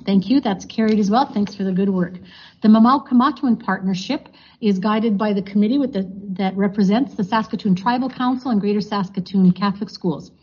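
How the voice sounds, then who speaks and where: Woman as board chair, husky mellow voice, dull lo-fi recording, procedural. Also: medium pitch, a little fast. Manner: procedural, many fillers and thank-yous. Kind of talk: chairing a formal board meeting.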